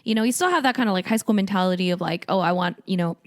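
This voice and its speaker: A woman's voice: mid-range voice, rapid-fire. A woman in her thirties co-hosting a podcast, chatting informally with lots of "like" in her speech. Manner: informal, frequent "like", giggly. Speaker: podcast co-host. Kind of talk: casual podcast chat.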